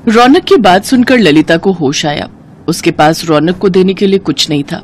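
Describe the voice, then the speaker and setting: A woman narrating a scripted moral story in an expressive storytelling manner. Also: on the low side, normal speed, a little hiss or hum.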